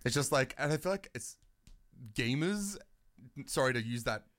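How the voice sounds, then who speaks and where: A man's voice: medium pitch, animated, normal speed, a bit bright. A young man hosting a casual radio show, chatting and rambling with lots of fillers. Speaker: radio host.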